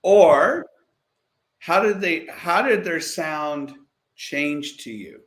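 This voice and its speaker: A man hosting a chat, asking guests measured questions. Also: fairly high pitch, animated. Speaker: host. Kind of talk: podcast interviewing.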